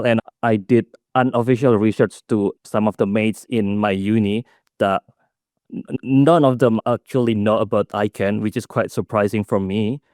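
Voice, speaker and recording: Young guy giving a conference talk, lowish voice, stops and fixes his words a lot, dry close sound. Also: a little mellow, dry recording. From Indonesia. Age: young adult. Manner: halting, with self-corrections and fillers. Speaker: student presenter. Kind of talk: conference presentation. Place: conference room.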